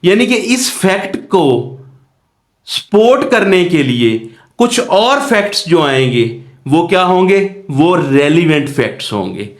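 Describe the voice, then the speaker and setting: A man's voice: a little high, very animated, slow pace. A man with a Pakistani accent teaching law in an explanatory lecture, posing questions and answering them himself in a didactic way. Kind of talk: explanatory lecture with worked examples. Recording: echoey room.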